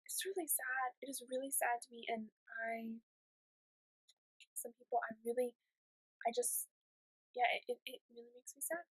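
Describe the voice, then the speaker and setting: A woman thinks aloud in a casual monologue, her voice husky and fading in and out as she loses it. She sits a little high in pitch and keeps stopping to find her words. The sound is dry and close. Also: steady tone, moderate pace, pauses often, no echo, low-quality recording. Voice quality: husky, voice cracking in and out. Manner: hesitant, restarts often, thoughtful. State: losing her voice. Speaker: vlogger.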